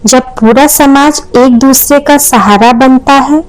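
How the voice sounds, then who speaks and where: A woman's voice: high-pitched, fairly even intonation, slow pace, faint music behind, low-quality recording. A woman narrating a scripted moral story as a storyteller.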